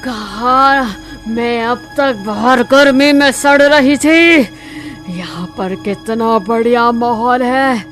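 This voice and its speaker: A woman voicing a comfort-loving, grumbling cartoon witch who exclaims and talks to herself in a drawn-out, dramatic way. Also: a little high, fairly bright tone, faint music behind. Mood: delighted, gloating. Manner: exclaiming, self-talking, drawn-out dramatic delivery. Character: heat-weary female witch, grumbling, comfort-loving, childishly delighted. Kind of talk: Hindi cartoon moral-story acting.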